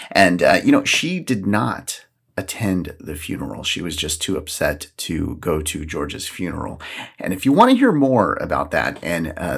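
A man's voice: low voice, vocal fry, fairly bright tone, slightly rough. A man hosting a podcast, talking casually and solo through the day's events with jokey, rambling asides. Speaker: podcast host.

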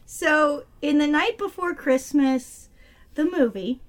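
High-voiced hoarse woman, giggly. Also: takes it slowly. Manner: giggly, laughs while summarizing. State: hoarse, losing her voice. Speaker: podcast co-host. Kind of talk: casual movie review chat.

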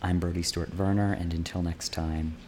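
A man's voice: low-pitched, flat delivery, fairly quick. Friendly male podcast host. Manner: friendly, enthusiastic questions. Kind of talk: casual podcast interview.

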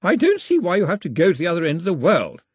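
A man's voice: high voice, wildly swooping pitch, fast, breathy edge, no echo, clean studio sound, voice pushed up, full character voice. A man voicing an imperious elderly grandmother who is haughty and domineering, speaking in a clipped, commanding way.

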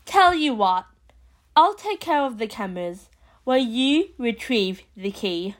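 A woman voicing a brisk, confident, take-charge female special agent in an audio drama. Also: on the high side, animated, voice pushed up. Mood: brisk, commanding.

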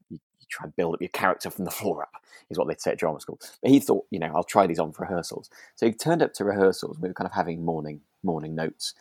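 A man co-hosting a podcast, chatting casually about a radio drama and telling stories in an animated way. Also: sits low, dry recording. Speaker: podcast co-host.

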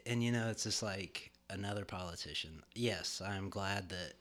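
A man's voice: sits low, normal speed, fairly bright tone. A middle-aged man co-hosting a podcast in casual chat, joking and rambling with fillers, recorded in a home studio. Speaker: podcast co-host.

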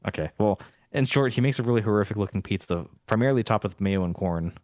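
A man co-hosting a podcast in casual chat, deadpan and rambling, with a lot of "like" and "uh". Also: fairly low pitch, fast talker, no echo, clean studio sound. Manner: deadpan, rambling, lots of fillers. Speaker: podcast co-host.

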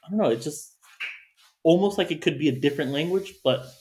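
A man in a casual group chat at a dining table, speaking emphatically and quick to correct the others. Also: on the high side, dark tone, low-quality recording. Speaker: guest. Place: home dining room.

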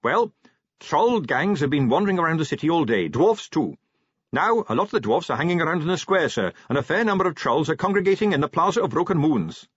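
A man's voice: a little high, big pitch swings, brisk, husky, no room sound, pitched above the performer's own voice. An earnest, dutiful captain of the watch, acted by a male narrator, speaking with very varied intonation. He is polite, sincere and deferential, a fantasy audiobook figure in a slightly dull recording. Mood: dutiful, brisk.

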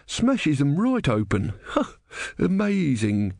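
An elderly storybook bore, played by a male narrator, pedantic and opinionated. He drones on unstoppably in a raised pitch, recorded close and dry. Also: wildly swooping pitch, very slow, a little mellow, no room sound, full character voice. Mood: earnest. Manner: boring, unstoppable droning.

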